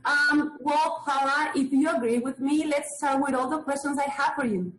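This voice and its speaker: A woman's voice: sits high, moderate pace, a bit bright. A woman hosting a client interview for a law office, politely reading prepared questions. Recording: echoey room with faint background noise.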